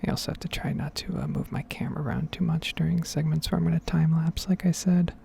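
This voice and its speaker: A woman giving whispered and soft-spoken ASMR game commentary in a breathy, rambling, unhurried way. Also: sits low, steady tone. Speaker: gaming ASMR video creator.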